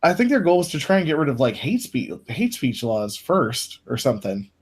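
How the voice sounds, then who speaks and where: A man's voice: mid-range voice, sing-song, normal speed, breathy edge. Rambling man hedging his points. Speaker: stream co-host. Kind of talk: casual group chat.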